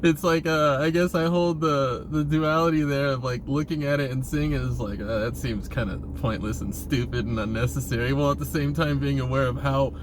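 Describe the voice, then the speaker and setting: A man's voice: mid-range voice, fairly even intonation, fairly quick, hardly any pauses, noisy background. A man talking casually in a rambling monologue, hesitant and often restarting his phrases, as a vlogger posing questions.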